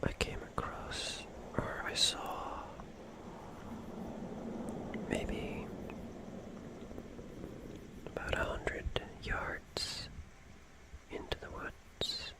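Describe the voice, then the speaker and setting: A person whispering a personal story in ASMR style, halting and unhurried, with long pauses.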